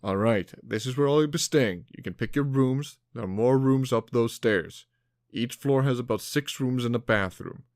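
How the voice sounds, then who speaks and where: A man playing a brisk, friendly master who guides new recruits in an audio drama, in an instructive, announcing manner. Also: mid-range voice, darkish tone, no echo, lightly acted. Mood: matter-of-fact.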